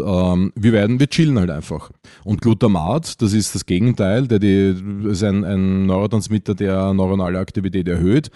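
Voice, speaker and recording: A man's voice: lowish voice, dark tone, no room sound, studio quality. A man with an Austrian accent co-hosting a podcast, explaining things informally and colloquially, often restarting his sentences as he goes. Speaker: podcast co-host.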